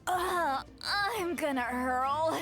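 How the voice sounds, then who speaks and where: A woman's voice: sits high, extremely slow, fairly bright tone, full character voice. A woman voicing a youthful-sounding, tipsy granny shaman in a video game, theatrical and easily flustered. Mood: queasy. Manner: theatrical, swinging from ominous proclamation to flustered complaint. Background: game music.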